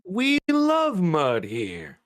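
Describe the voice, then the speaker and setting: A woman's voice: lowish voice, huge pitch swings, drawn-out words, dry recording, exaggerated voice. A woman playing a goofy, cheerful, doting father in a screenplay table read, laid-back and jokey. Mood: warm, easygoing.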